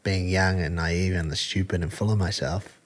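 A man with a New Zealand accent talks through an interview in an earnest, halting way, stopping and restarting his sentences. His voice sits very low, with a husky grain to it. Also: steady tone. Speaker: combat sport fighter, guest. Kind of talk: casual interview answers.

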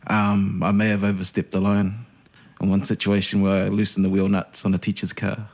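A man's voice: fairly low pitch, completely flat delivery, a little fast. A man, a podcast guest telling stories in a laid-back, self-deprecating way. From New Zealand. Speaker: podcast guest, radio host. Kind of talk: casual interview chat.

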